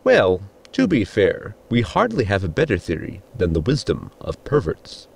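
A man's voice: on the low side, huge pitch swings, vocal fry, slightly rough, exaggerated voice. An actor playing a polite, precise spaceship science crewman in a comedy audio drama, speaking formally and earnestly. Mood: dry, matter-of-fact. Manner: formal, measured, explanatory.

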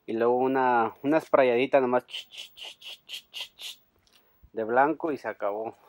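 A man's voice: mid-range voice, dry recording. Chatty man fixing a fender. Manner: relaxed, chatty, self-deprecating, with halting restarts. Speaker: trucker vlogger. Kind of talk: casual DIY commentary. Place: outdoors in a driveway by a garage.

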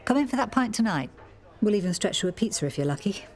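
An actress playing a hospitable, no-nonsense middle-aged pub landlady in a radio soap, brisk and direct. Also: medium pitch, big pitch swings, darkish tone, a faint crowd, close to an everyday voice. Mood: friendly. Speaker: actor.

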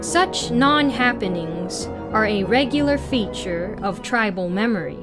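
A young woman narrates a written piece over clearly audible music. Her pitch sits in the middle for a woman. She reads very slowly, in a deliberate and formal way. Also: animated. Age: young adult. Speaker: narrator reading an article aloud. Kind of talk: scripted read-aloud narration.

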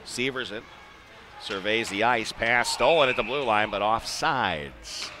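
A man's voice: lowish voice, lively intonation, measured pace. An American man calling live ice hockey play-by-play in a conversational way, with short clipped phrases. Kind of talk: live sports commentary. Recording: live arena broadcast with clear background noise.

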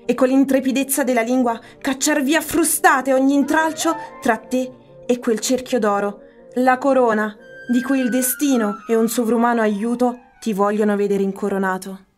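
A woman's voice: a little high, steady tone, normal speed, faint music behind, lightly acted. An actress playing an ambitious, ruthless noblewoman in a Shakespearean radio drama, solemn and declamatory. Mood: scheming, intense.

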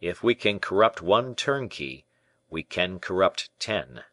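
A man reading a storybook part as a courtly, devoted conspirator, formal, deferential and persuasive in measured rhetoric. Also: low voice, lively intonation, a bit bright, dry recording, heavily acted. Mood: smooth, assured.

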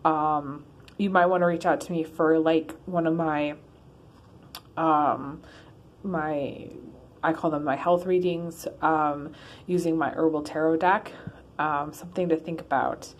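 Woman tarot reader, lower-pitched voice, loose and rambling, filmed on a phone. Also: fairly even intonation, a little slow, slight background noise. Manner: rambling, many ums and restarts. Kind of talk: casual one-card tarot reading. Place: in her car.

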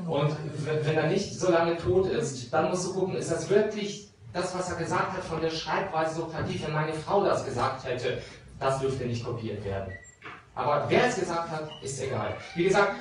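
A man in his thirties gives a lively talk to a pub crowd and explains with examples. His voice sits slightly high. The microphone catches him from a distance in a very echoey room with clear noise behind him. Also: moderate pace. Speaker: lawyer speaking at a meetup. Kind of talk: informal talk answering audience questions. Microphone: handheld microphone. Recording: very echoey room from far off, noisy.

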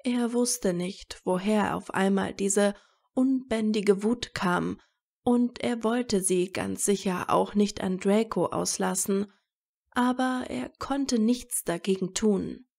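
A woman's voice: medium pitch, slow pace, vocal fry, darkish tone. A woman reading scripted story narration as the narrator of an audio fiction.

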